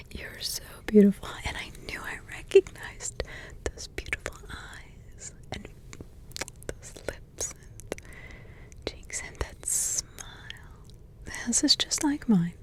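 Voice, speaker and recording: A woman voicing a centuries-old witch who is a vampire's teasing mother, in slow, intimate whispers with soft chuckles. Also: medium pitch, very animated. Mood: teasing, gossipy. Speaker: ASMR roleplay host. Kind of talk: whispered ASMR roleplay.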